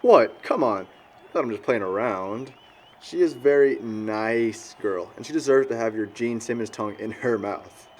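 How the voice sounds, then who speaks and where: Young American male actor as a blunt, teasing loudmouth for audio drama, slangy talk, slightly noisy recording. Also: mid-range voice, normal speed, close to an everyday voice. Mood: defensive, teasing. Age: young adult. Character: crude, mocking young man, the group's loudmouth. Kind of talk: conversational audio drama acting.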